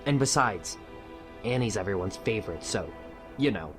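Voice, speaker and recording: A man's voice: fairly low pitch, expressive, moderate pace, over music, natural voice. A man playing a slighted young conspirator in an amateur audio drama, hesitant and careful, with an aggrieved manner. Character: resentful, cautious young male conspirator, the slighted one.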